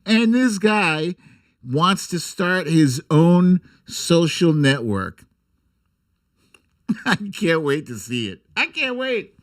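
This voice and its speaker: Theatrical man with swooping, highish voice. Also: sing-song. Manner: theatrical, drawn-out emphasis; sarcastic, irreverent, swearing. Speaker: host of a political humour livestream. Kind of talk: comic political commentary and mock storytime reading.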